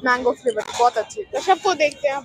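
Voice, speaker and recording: A woman's voice: high voice, animated, fast, low-quality recording. A young woman chatting directly to her viewers as she walks through a shopping mall for her vlog. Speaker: vlogger. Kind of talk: casual shopping vlog narration. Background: music.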